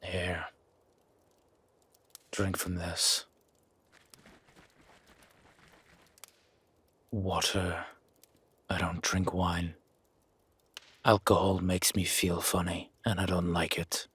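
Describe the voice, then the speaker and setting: A man playing a gruff, wary but grudgingly kind werewolf in a roleplay audio drama, with a raspy, growling, half-whispered voice and terse, brooding delivery. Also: low voice, flat delivery, fairly bright tone, dry recording, exaggerated voice. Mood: gruff, softening. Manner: slow, brooding, terse commands.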